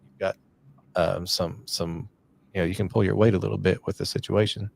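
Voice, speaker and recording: A man's voice: low-pitched, fairly even intonation, brisk, gravelly, darkish tone. A middle-aged man hosting a podcast, talking in an earnest, encouraging, rambling way. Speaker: podcast host. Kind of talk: casual podcast conversation.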